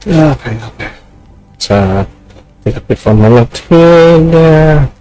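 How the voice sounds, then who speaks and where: A man's voice: fairly high pitch, expressive, takes it slowly, warm tone, faint music behind. A man giving casual let's-play commentary while gaming, muttering short reactions as he goes. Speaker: streamer playing a video game.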